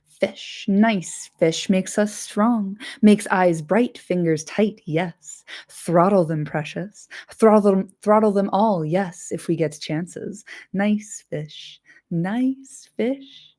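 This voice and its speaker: A woman voicing a wretched, greedy male creature in a hissing, high, whiny voice that slips into whispers. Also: slow, darkish tone.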